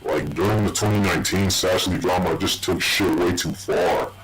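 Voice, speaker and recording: A man rambling casually and swearing heavily through a low voice changer, recorded on a loud, distorted microphone. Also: normal speed, over music. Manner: heavy swearing. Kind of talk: rambling casual personal monologue.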